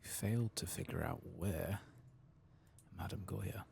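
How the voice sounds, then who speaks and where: A soft-spoken man hosting an ASMR game playthrough, hushed and unhurried, sometimes slipping into whispers. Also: low-pitched, gravelly, slight background noise. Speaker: ASMR gaming host.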